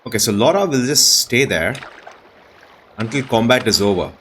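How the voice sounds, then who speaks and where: A man's voice: mid-range voice, animated, moderate pace, a bit bright. Guy doing casual game commentary, talking to himself and the characters, easily amazed, a bit of background noise. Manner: awestruck exclamations, talks to himself and game characters. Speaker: gaming video host.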